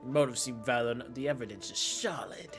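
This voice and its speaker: A man's voice: mid-range voice, normal speed, music in the background. Chatty male game streamer. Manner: chatty, rambling. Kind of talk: casual live stream commentary.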